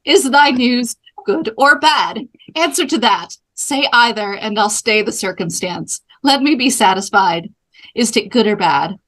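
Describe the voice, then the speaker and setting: A woman reading the part of an impatient, pleading, lovestruck young girl from a Shakespeare play in measured verse. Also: fairly high pitch, lively intonation, a bit bright, rough recording. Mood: pleading, exasperated. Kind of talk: Shakespeare play read aloud.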